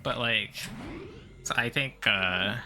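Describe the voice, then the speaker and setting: A man's voice: lowish voice, takes it slowly, pushed and bright, slight background noise. A man giving casual live commentary as he plays a video game, rambling and thinking aloud in a blunt, informal way. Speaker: streamer doing a game playthrough.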